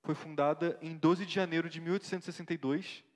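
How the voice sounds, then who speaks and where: A man's voice: on the high side, steady tone, fast talker, close to an everyday voice. A man playing an earnest young missionary pastor, telling his life story in a staged interview. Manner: earnest, reflective storytelling with frequent tag questions. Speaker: interviewee in a church play. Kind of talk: staged interview, church theatre. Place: church hall. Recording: reverberant church hall.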